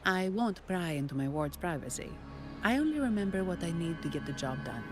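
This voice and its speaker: An actress playing a composed, dutiful hired guard and guide in a video game, speaking in a measured, formal, businesslike way. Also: female voice, fairly low pitch. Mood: firm, matter-of-fact. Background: background music.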